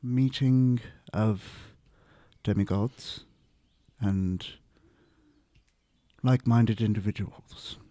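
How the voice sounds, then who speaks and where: Man playing a solemn young demigod, mellow voice. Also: fairly low pitch, steady tone, dry recording. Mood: earnest, solemn. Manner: deliberate, solemn, halting. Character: earnest, socially awkward young male demigod, idealistic and ambitious. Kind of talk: improvised in-character tabletop roleplay.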